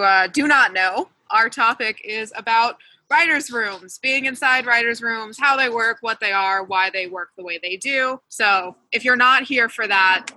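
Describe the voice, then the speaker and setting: A woman's voice: a little high, bright, forceful tone, poor audio. A woman hosting a panel webinar as moderator, upbeat and playful.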